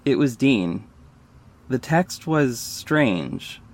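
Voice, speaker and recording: A man's voice: mid-range voice, big pitch swings, extremely slow, full character voice. A man playing a sarcastic, petty young company head who narrates an audio drama in a wry, dramatic way. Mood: wry, businesslike. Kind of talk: first-person audio drama narration and dialogue.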